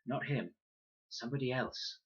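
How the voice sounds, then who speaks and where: Calm storybook girl, played by a man: gentle, curious, lifted pitch, dull recording. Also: normal speed, husky. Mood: quietly reassuring.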